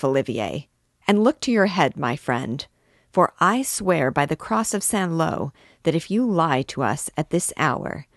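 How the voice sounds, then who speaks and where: A woman's voice: sits low, no echo, studio quality. A woman reading as a wrathful old king. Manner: commanding, rising to shouted orders. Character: old fierce male king, wrathful and cunning.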